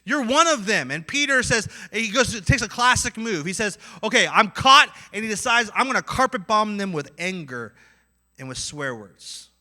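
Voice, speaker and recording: A man with a Midwestern accent preaching a sermon, acting out inner voices and swinging between loud and quiet. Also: sits high, moderate pace. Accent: Midwestern American. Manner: animated, acts out inner voices with swings in loudness. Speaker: pastor.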